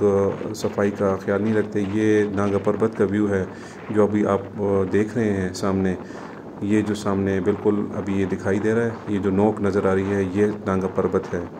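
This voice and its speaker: Pakistani guy doing a casual moto travel vlog outside, lowish voice, lots of vehicle noise. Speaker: motorcycle travel vlogger. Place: outdoors on a mountain pass, partly riding a motorbike. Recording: noisy outdoor recording with wind and vehicle noise.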